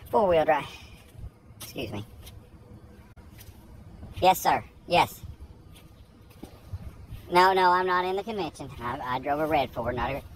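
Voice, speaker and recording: A man rambles into a phone held at arm's length, playing a self-important tour guide. His pitch swings widely from line to line. Wind and passing cars hiss behind him on the outdoor phone recording. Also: poor audio. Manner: rambling, animated, talks to people off camera. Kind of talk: rambling comedic monologue to camera. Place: outdoors, by a lake, then indoors in a truck cab.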